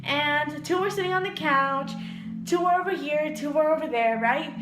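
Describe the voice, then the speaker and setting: A young woman telling a storytime tale in a vlog, animated and giggly, acting out voices as she goes. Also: high voice. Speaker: vlogger. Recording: echoey room.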